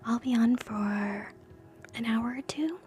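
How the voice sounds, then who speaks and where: A woman hosting live ASMR in a soft, breathy whisper, gentle and soothing, moving between guided relaxation and quiet chat. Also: fairly high pitch, slow pace. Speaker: ASMR streamer, host.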